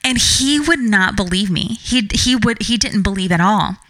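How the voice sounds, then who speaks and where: A woman's voice: medium pitch, normal speed, a bit bright, dry recording. A middle-aged woman co-hosting a podcast with her daughter, talking earnestly and reflectively with many restarts and fillers. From Puerto Rico heritage, raised in the US. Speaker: podcast co-host, mother. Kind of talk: casual conversational podcast.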